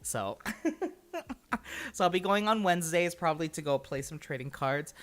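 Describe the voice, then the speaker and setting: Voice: mid-range voice, big pitch swings, unhurried, a bit bright. A livestream host chatting casually and rambling from thought to thought, with an easy habit of laughing and swearing.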